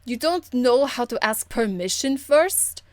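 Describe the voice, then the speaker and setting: A woman's voice: a little high, animated, unhurried, no room sound. A woman voicing a stern, proper female warrior in a video game, speaking formally with a dry, weary exasperation. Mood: weary, disapproving. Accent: foreign, European-tinged. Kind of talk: video game character acting.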